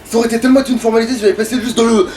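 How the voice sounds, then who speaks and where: High-pitched man swearing through gameplay. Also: steady tone, racing, darkish tone, soft background music. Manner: swearing, taunting at game enemies. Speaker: let's player. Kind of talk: casual gameplay chat.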